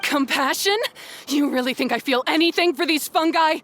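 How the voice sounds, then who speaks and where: An actress playing a proud, stern young beast tamer rival in a video game, cold, commanding and dismissive. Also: female voice, sits high, normal speed, a bit bright. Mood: agitated. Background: game music.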